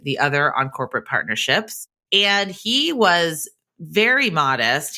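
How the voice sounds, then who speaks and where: Low-voiced bright podcast host woman. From Boston area. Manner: upbeat, enthusiastic. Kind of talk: podcast interview and intro.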